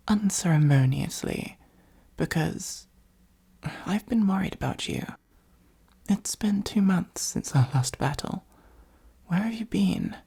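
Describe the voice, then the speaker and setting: A performer voicing a theatrical supervillain in an ASMR roleplay, with a soft breathy delivery, pompous and sardonic. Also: mid-range voice. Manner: pompous monologuing, sardonic exasperated asides. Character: theatrical gloating supervillain, secretly fond of the hero.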